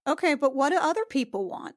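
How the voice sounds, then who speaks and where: A woman's voice: high-pitched, expressive, fast talker, no room sound, clean studio sound. A woman playing a tabletop roleplaying game, chatting in a casual, slangy, improvised way. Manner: slangy, conversational.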